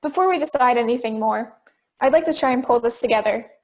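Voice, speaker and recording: Woman acting high-pitched female juror, persistent, logical, challenging, radio play. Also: quick pace, close to an everyday voice. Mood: reasoning. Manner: pointed, questioning. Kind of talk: amateur audio drama acting.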